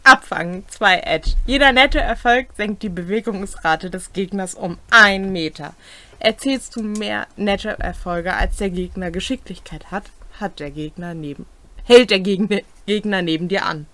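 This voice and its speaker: A young woman co-host reading game rules aloud casually, item by item and deliberately. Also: medium pitch, pushed and bright, dry recording. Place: small home room, indoors.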